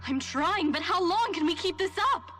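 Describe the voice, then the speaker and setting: A woman's voice: very high-pitched, lively intonation, moderate pace, pushed and bright, recorded from a distance, faint music behind, heavily acted. A woman playing an anxious, despairing militant in a video game cutscene, with a worried way of talking.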